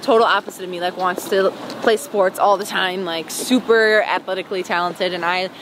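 A woman's voice: fairly low pitch, steady tone, a little fast. A young woman from Wisconsin, a singer, chatting casually and giggling with lots of "like" and "um" while she walks down a sidewalk in an interview. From Wisconsin, United States. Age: young adult. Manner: chatty and giggly, many "like" and "um" fillers. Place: outdoors on a city sidewalk, walking. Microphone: handheld camera at close range. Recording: street noise behind the voice.

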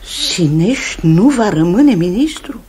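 An actress in a 1950s radio drama playing an older aunt who talks stern and emotional, dropping into pleading whispers. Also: female voice, mid-range voice, animated, warm tone, natural voice. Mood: incredulous.

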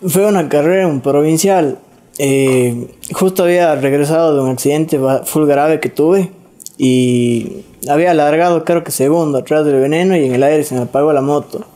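A young man, speaking in a hesitant, quiet way about racing. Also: on the high side, normal speed. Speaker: guest rider. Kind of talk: casual chat.

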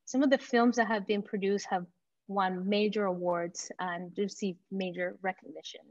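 A woman from Venezuela presenting her company in an enthusiastic, confident startup pitch. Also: steady tone, moderate pace, darkish tone, no room sound, lo-fi sound. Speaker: company founder pitching to investors.

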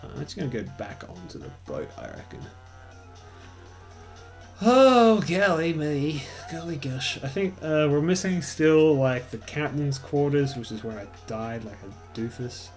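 A man's voice: on the high side, very animated, unhurried, faint music behind. Rambling, swearing Australian guy. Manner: rambling, swears a lot, self-mocking. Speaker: let's play host. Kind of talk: casual gameplay commentary.

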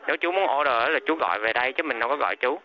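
Brief: calm restaurant worker on the phone, mid-pitched voice, slightly muffled line, patient and courteous. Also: male voice, very fast. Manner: patient, polite, repeats questions. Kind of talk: phone conversation.

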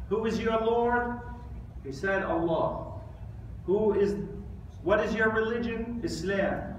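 A man in his thirties giving an Islamic sermon in an emphatic, deliberate way, with rhetorical questions and pauses. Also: high voice, fairly bright tone. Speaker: preacher giving an Islamic lecture. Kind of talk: sermon with quoted Arabic recitation. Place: large mosque prayer hall, indoors. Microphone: distant camera or phone. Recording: echoey hall from far off, faint noise.

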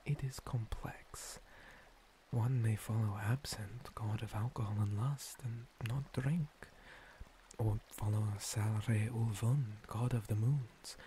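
A man playing a gentle, long-lived monster cleric in a whispered ASMR roleplay, breathy, courteous and a little hesitant, with formal phrasing. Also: mid-range voice, slight background noise, close to an everyday voice.

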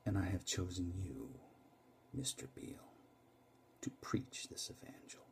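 A man's voice: expressive, unhurried. A man doing a thundering corporate tycoon. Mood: quiet, intense. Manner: booming shouts falling to hushed whispers. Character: commanding male corporate tycoon, fierce, preaching. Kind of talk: dramatic monologue recitation.

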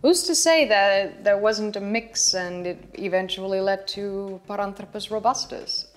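A woman's voice: medium pitch, unhurried, faint music behind. Dutch-accented young woman explaining history. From Netherlands. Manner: chatty, explaining with hand gestures. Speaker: history channel host. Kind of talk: educational video talk to camera. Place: living room with a sofa.

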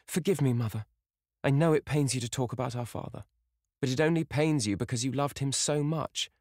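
A man's voice: medium pitch, brisk, darkish tone, no room sound, studio quality, voice pushed up, close to an everyday voice. A man voicing a young girl in love, eager, proud and pleading, in a breathless way. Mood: yearning.

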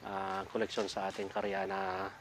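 A man's voice: low voice, completely flat delivery, fairly quick, background noise. A middle-aged man with a Filipino accent talks casually outdoors for a vlog, reading out book titles with frequent fillers while the camera films him from a few meters away. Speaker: vlogger sorting donated library books. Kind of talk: casual vlog talk mixing English and Tagalog. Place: outdoors beside a building.

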